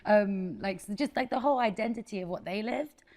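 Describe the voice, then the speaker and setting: A woman in her thirties from Afghanistan, a guest chef telling her life story in a candid, expressive way. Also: mid-range voice, a little fast. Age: 30s. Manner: candid and expressive, laughs often.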